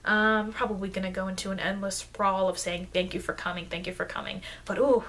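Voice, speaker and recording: A woman playing a friendly, rambling vampire lecturer in an improvised ASMR roleplay, speaking in halting, chatty asides that aim to reassure her human listener. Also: fairly even intonation, a bit bright, lightly acted. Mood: friendly, reassuring, then pleased. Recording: noisy, low-grade microphone.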